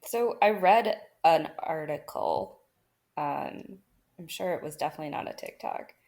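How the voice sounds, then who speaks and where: A woman's voice: sits low, measured pace, gravelly, darkish tone. A woman talking casually on a film podcast, chatty and full of fillers. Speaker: podcast guest.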